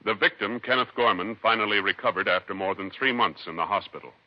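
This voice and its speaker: An American man announcing on 1950s radio, reading a script with formal, measured enunciation. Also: lowish voice. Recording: muffled vintage 1950s radio recording.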